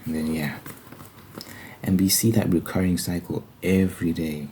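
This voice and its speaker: A man speaking in a casual, halting ASMR style, explaining religious ideas informally and posing questions as he goes. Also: deep voice, steady tone, dark tone. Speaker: ASMR video creator. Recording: faint background noise.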